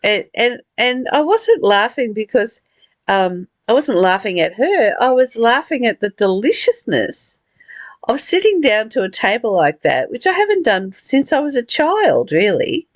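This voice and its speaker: Slow-talking woman leading a study. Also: fairly high pitch, big pitch swings, no echo. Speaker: host of a spiritual book study. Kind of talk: reading aloud and reflecting casually in a study discussion.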